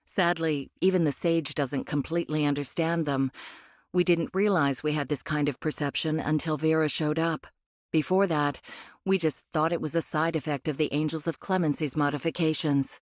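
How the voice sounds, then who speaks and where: An actress playing a condescending cyborg angel of a rebel faction in an anime game, speaking in a measured, cryptic way. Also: female voice, deep voice, steady tone, dry recording, clean studio sound, lightly acted. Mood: calm, explanatory. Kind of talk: anime game voice acting, expository dialogue.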